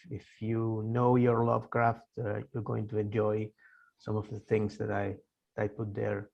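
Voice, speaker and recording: A man in his sixties from Argentina, a native Spanish speaker, answering interview questions in a halting way with many fillers and restarts. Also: fairly low pitch, gravelly, a little mellow, lo-fi sound. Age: 60s. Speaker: guest author. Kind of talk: casual interview.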